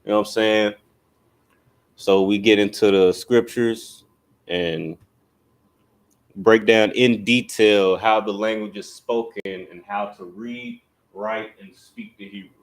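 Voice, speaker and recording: A man with a fairly low voice leads an online class and speaks straight to his viewers. His delivery is loose and conversational, with the same filler phrase coming back often as he gives instructions. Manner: casual, loose delivery with repeated "you know what I'm saying". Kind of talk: livestreamed religious language class.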